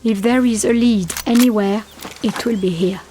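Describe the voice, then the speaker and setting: Brisk, higher-voiced woman acting a Resistance fighter. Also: dark tone, slight background noise, close to an everyday voice. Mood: serious, briefing. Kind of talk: video game cutscene acting. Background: music.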